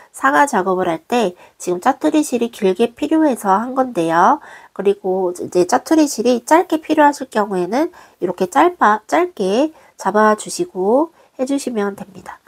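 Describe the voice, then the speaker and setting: A woman, hoarse from a cold, patiently walking viewers through a craft tutorial step by step in a gentle, polite way. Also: medium pitch, expressive, normal speed, hardly any pauses. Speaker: crochet designer teaching.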